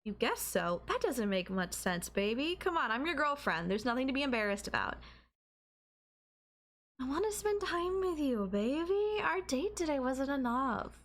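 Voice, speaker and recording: A woman's voice: high voice, sing-song, normal speed. Coy, teasing young girlfriend played by a woman. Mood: affectionate, coaxing. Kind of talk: intimate anime-style audio roleplay.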